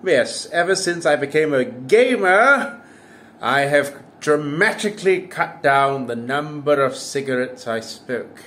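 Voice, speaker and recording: A man's voice: a little high, fairly bright tone. Wry man in his thirties. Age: 30s. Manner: wry, self-mocking, jokey asides. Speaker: political commentary vlogger. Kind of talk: casual to-camera monologue.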